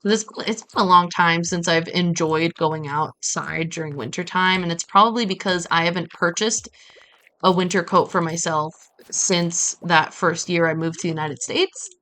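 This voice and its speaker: A woman from Brazil chatting casually as she streams a game, telling stories in an animated way with playful asides. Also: on the low side, moderate pace. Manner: animated storytelling with acted-out quotes and playful asides. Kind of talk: casual chat while playing a game on a livestream.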